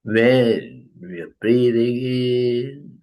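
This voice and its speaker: A man leading a guided breathing meditation, giving calm instructions with long pauses. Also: mid-range voice. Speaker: meditation teacher.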